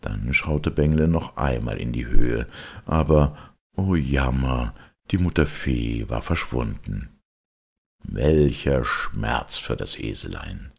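Very deep, gravelly male storyteller. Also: expressive, slow pace. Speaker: audiobook narrator. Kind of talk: expressive scripted storybook narration.

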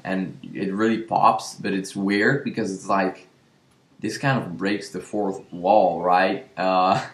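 Young male video review host, casual and chatty, fairly deep, roomy home recording. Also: flat delivery, takes it slowly. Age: young adult. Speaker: horror movie review show host. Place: small room with shelves, indoors. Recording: roomy indoor echo.

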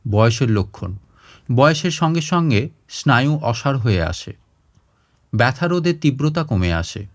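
A man narrating a literary short story in an expressive audiobook style. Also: mid-range voice, measured pace.

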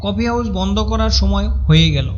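A man's voice: high-pitched, expressive, brisk, dull audio, background noise. A man narrating a cartoon ghost story in a wry, chatty storyteller manner.

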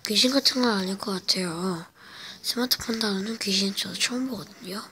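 A woman vlogger whispering a spooky personal story at home in a slow, hesitant way, with many fillers. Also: mid-range voice, sharp tone, slight background noise. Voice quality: breathy whisper. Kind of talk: whispered casual storytelling to camera. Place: home, indoors.

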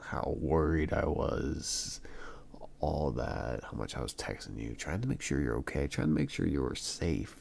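A man playing a hurt, irritated young boyfriend in an ASMR roleplay, speaking haltingly in a gravelly voice and repeating words. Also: expressive, a little mellow, full character voice. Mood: hurt, exasperated. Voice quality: very deep, gravelly, creaky. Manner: halting, drawn-out, repeats words, swinging between mutters and outbursts.

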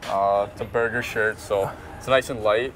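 Low-voiced young Canadian man. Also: fairly even intonation, moderate pace, crowd noise, rough recording. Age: young adult. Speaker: guest, hockey player. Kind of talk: casual chat. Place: inside a shopping mall and its stores. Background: faint music.

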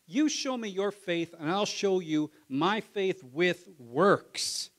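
A man putting on a stern shouting apostle. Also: high-pitched, animated, takes it slowly, voice pushed up. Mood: scolding. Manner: loud, shouting rebukes. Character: stern male apostle scolding lazy believers.

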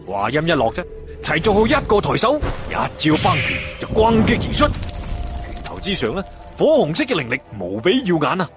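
Animated male storyteller. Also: a little high, normal speed. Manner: theatrical, with playful asides and exclamations. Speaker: audiobook storyteller. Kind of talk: dramatic colloquial story narration. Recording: background music behind narration.

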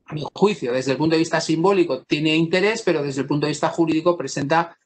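A man from Spain giving an academic law lecture over a video call, pausing often and restarting his sentences. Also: fairly high pitch, slight background noise. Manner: thoughtful, many pauses, fillers and restarts.

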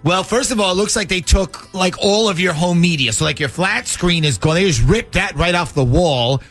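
A man's voice: high-pitched, normal speed, fairly bright tone, faint music behind. A man playing a matter-of-fact police detective in a casual, official-sounding way on a prank phone call.

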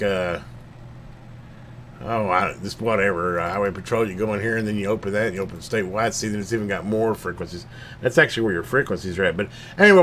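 Low-voiced man, rambling and folksy. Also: a bit bright, a little hiss or hum. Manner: rambling, folksy, thinking aloud. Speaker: scanner hobbyist giving a product overview. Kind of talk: casual unscripted demonstration.

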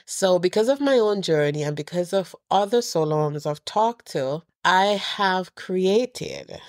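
A woman's voice: on the low side, lively intonation, measured pace, no room sound, clean studio sound. A middle-aged woman hosting her podcast alone, sounding a bit nasal from a cold, speaking earnestly and encouragingly to her listeners. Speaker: podcast host. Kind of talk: heartfelt solo address to listeners.

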